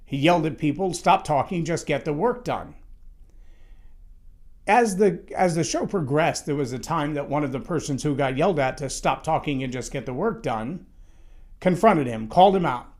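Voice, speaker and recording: A man with a loud voice giving a conversational, emphatic solo talk on leadership, with frequent restarts. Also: fairly high pitch, animated, normal speed. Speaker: leadership speaker.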